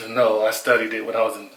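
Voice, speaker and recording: A man's voice: on the low side, little pitch movement, brisk, a bit bright. A young man from the United States chatting with his wife, laid-back and teasing. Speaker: husband and guest. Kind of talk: casual chat. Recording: echoey room.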